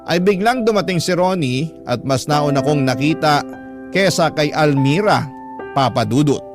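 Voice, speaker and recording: A man's voice: fairly high pitch, expressive, moderate pace. A man reading a first-person story as scripted radio drama narration. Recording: music playing behind. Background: background music.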